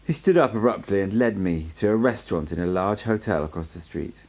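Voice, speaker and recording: Guy reading a book out loud for fun, low rough voice, steady storyteller, lots of pitch movement. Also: brisk. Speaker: audiobook reader. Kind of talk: amateur audiobook narration.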